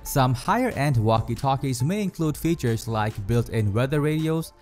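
Man narrating with lively, varied pitch. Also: moderate pace. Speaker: video narrator. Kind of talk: scripted explainer narration. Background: faint background music.

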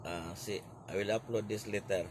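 A Filipino man in his forties vlogging excitedly from a bedroom into a phone held close, in halting English mixed with Filipino. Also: on the low side, noisy background. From Philippines. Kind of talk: casual selfie vlog. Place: small bedroom, indoors. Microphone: phone camera held close.

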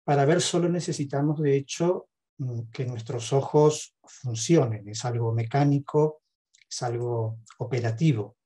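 Male lecturer, middle-aged, Argentine accent. Unhurried and measured, mellow timbre, reflective talk with pauses. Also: medium pitch. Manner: measured, hesitant pauses between phrases. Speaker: speaker, theologian and counsellor. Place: home study with bookshelves. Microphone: headset on a video call.

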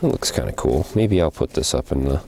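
A man casually narrating as he cleans out an old hive outdoors, thinking aloud with small pauses. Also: extremely low pitch, animated, vocal fry, mellow, a little hiss or hum. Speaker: hobby beekeeper and channel host. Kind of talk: casual narration while working. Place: outdoors beside a wooden beehive.

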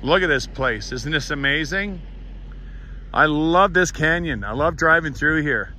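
A man's voice: fairly high pitch, lively intonation, unhurried, pushed and bright. A middle-aged man, a truck driver giving an earnest, rambling vlog update outdoors beside his parked truck, talking into a phone held at arm's length. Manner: chatty, rambling, earnest, with fillers. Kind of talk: casual roadside vlog update.

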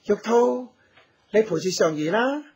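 Husky, devoted heroic husband. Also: male voice, high voice, expressive, a little slow, a little mellow, full character voice. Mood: tender, caring. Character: heroic archer husband, devoted, resolute and caring. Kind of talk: Cantonese radio drama acting.

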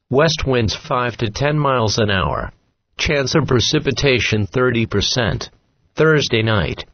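A synthetic text-to-speech man's voice reading a scripted weather forecast as an automated radio announcer, in a flat, clipped, formulaic way. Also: mid-range voice, dry recording. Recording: dull lo-fi weather radio recording.

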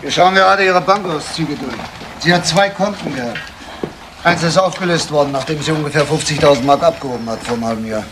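A man's voice: fairly high pitch, quick pace, natural voice. A man playing a businesslike police investigator reporting findings in a German crime radio play, on an old recording. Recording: noisy retro recording, distant sound.

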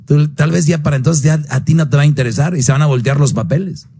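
A man's voice: quick pace, creaky, mellow, a little hiss or hum. A man hosting a call-in advice show on the radio, blunt and preachy, pushing his voice up to stress a point. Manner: blunt, preachy, swings pitch up for emphasis.

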